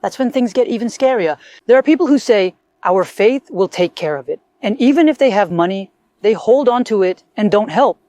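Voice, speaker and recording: A woman's voice: medium pitch, expressive, fairly quick, warm tone, dry recording. A middle-aged woman from North Korea, telling her story earnestly and reflectively in a casual conversation.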